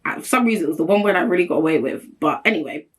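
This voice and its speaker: A woman, hosting a solo vlog. She has a strong, deep voice and talks bluntly and emphatically, with a straight-talking manner. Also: animated, rapid-fire. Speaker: host. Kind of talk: solo opinion vlog talk.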